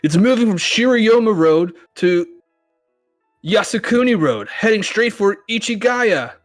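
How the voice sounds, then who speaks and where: An urgent, solemn news anchor played by a man delivers emergency bulletins. The voice sits high for a male, with a dramatic reading style. Light music plays underneath. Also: animated, measured pace. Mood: grave, urgent.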